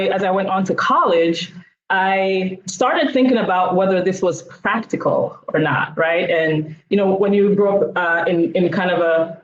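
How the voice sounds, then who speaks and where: A woman economist reflecting in a measured way on a video roundtable, with frequent fillers. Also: on the low side. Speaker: economist panelist. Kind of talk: roundtable discussion. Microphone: video call. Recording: roomy, dull video call audio.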